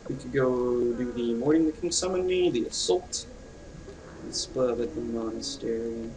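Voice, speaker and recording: A man's voice: mid-range voice, moderate pace, background noise. A man giving casual, informal let's play commentary with reactive asides. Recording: slightly distant mic, game music behind.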